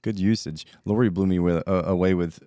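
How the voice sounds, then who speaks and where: A man's voice: deep voice, steady tone, fairly quick, warm tone, no echo, clean studio sound. A man hosting a casual interview, asking questions and adding short encouraging remarks. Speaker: podcast host.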